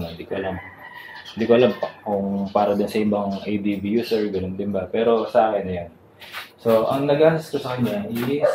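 A man with a Filipino accent hosting a casual vlog, talking conversationally with frequent little tag words. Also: fairly low pitch. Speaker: motovlogger and channel host. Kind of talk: casual vlog product rundown. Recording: roomy echo, clear background noise.

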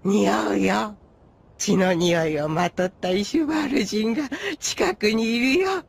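A man's voice: high-pitched, expressive, brisk, creaky, breathy edge, exaggerated voice. A man voicing a gluttonous, simple-minded, childish monster in a Japanese anime. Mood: hungry, gleeful. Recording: music behind the voice.

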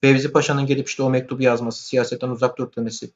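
A man chatting casually and colloquially about films, opinionated and animated. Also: mid-range voice, rapid-fire, dull audio.

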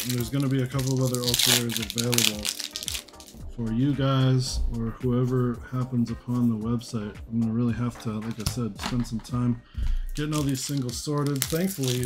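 A man with a mid-pitched voice talks casually and chattily over clearly audible music. He rambles along in an easy, slangy way, as if hosting a video from a table. He sounds middle-aged and relaxed in his delivery. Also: poor audio. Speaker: trading card shop owner hosting an unboxing video. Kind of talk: casual rambling chat while opening card packs. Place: indoor room at a card-opening table. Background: music, crinkling card packs.

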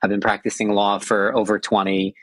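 A man's voice: fairly low pitch, little pitch movement, fairly quick, dry recording. A man in his forties with an American accent, a lawyer speaking as a guest on a video call interview, earnest and repeating phrases for emphasis. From United States. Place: indoors, small room.

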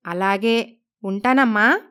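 A woman's voice: mid-range voice, takes it slowly, fairly bright tone, clean tone. A woman voicing a polite, coaxing young daughter-in-law with a scheming streak in a cartoon moral story. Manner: respectful, coaxing. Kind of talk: Telugu animated moral story voice acting.

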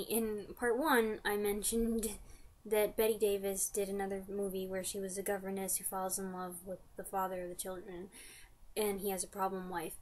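A woman in her twenties chatting casually to camera, giving an enthusiastic fan review in informal slang. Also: mid-range voice. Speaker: vlogger reviewing radio drama. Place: small furnished room indoors.

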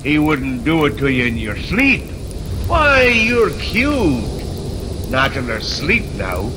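A mocking policeman from 1940s radio drama. His delivery is hugely animated and very drawn out, full of amused condescension, over a clearly noisy old recording. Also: on the high side, slow, exaggerated voice. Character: jovial mocking male Irish policeman in a nightmare.

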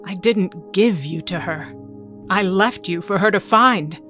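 A woman's voice: mid-range voice, measured pace, bright, forceful tone. An actress playing an ageless mutant mother in an audio drama, cold, guarded and authoritative in clipped phrases. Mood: guarded, regretful. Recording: music under the dialogue.

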